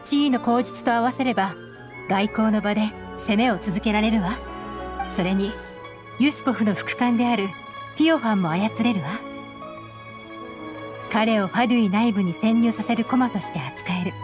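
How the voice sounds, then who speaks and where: A woman's voice: fairly high pitch, normal speed, long pauses, music in the background, close to an everyday voice. A woman voicing a shrewd, secretive young intelligence agent in an anime game, composed, knowing and slightly teasing. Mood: cool, calculating.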